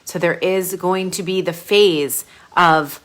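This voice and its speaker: A woman brand coach leading a live group coaching session. She talks casually and chattily, with sudden gushing bursts of excitement. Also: fairly low pitch, takes it slowly. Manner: casual, chatty, with frequent fillers.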